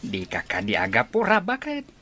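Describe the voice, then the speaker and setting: A man's voice: mid-range voice, huge pitch swings, moderate pace, crowd noise. A man with a Filipino accent playing an easygoing older father and husband in a radio drama. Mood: placating. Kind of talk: Philippine radio drama acting.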